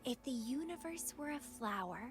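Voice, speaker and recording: A woman's voice: high voice, animated, moderate pace, soft background music. An actress voicing a playful, dangerously devoted young woman rival in a video game, with a teasing, languid, dramatic delivery. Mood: tender, possessive. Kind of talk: scripted video game voice acting.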